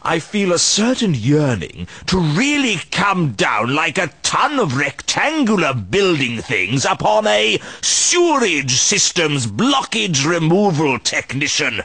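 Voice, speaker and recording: Pompous, husky senior wizard. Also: male voice, on the high side, huge pitch swings, takes it slowly, hardly any pauses, bright, forceful tone, no room sound, heavily acted. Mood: pompous, menacing. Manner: pompous, drawling, dryly mocking. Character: older senior male wizard, pompous, teasing schemer. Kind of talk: scripted comic game dialogue.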